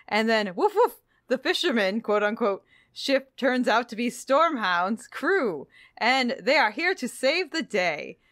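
A woman's voice: on the high side, a bit bright, no echo. Playful woman podcast co-host, dry sound. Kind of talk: casual, playful book discussion.

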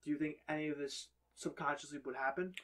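A man co-hosting a podcast, explaining his idea haltingly and rephrasing it in casual group talk. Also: a little high, steady tone, a bit bright. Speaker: podcast co-host. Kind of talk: casual panel discussion.

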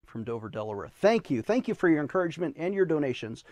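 American male religious TV host, high and swooping delivery, theatrical emphasis, dry studio sound. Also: big pitch swings, fast, darkish tone, no echo. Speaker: host and preacher of a religious news show. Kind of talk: presenting viewer letters with preaching commentary. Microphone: lapel mic.

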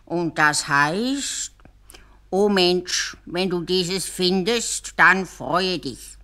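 A solemn old storybook scholar played by a woman with a Swiss German accent. He reads very slowly and weightily, stretching out each phrase. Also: lowish voice, pushed and bright, full character voice. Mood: solemn, portentous. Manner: slow, solemn, deliberate. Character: learned old male teacher reading a magic spell.

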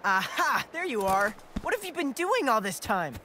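A man's voice: very high voice, sing-song, moderate pace, a crowd in the background. An actor playing a kind, confident young male monster rider in a video game. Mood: confident, reassuring. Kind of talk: video game cutscene acting.

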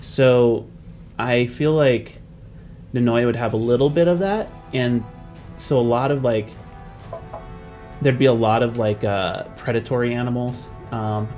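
A man's voice: mid-range voice, a little slow, long pauses, a little mellow. A man hosting a podcast as a game master, talking casually and hesitantly as he thinks aloud. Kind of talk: casual podcast chat about world building. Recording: background music under the voice.

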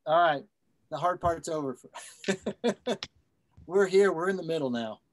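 A man's voice: on the high side, animated, takes it slowly, a little hiss or hum. A man chatting casually and appreciatively after a poetry reading, with self-effacing, joking remarks. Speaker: poet who has just given a reading.

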